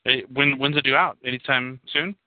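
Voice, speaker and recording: A man's voice: medium pitch, steady tone, moderate pace, no room sound. A man hosting an online talk show, asking long rambling questions with many repeated words. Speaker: show host. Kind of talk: online radio interview. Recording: tinny phone line, dry.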